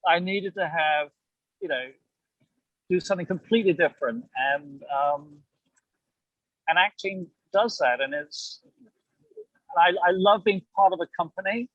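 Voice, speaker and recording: Older man, British accent, raised pitch, reflective interview chat, self-deprecating, hedging, very dry recording. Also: a little slow, long pauses, no room sound, dull audio. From England. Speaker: amateur actor, interview guest.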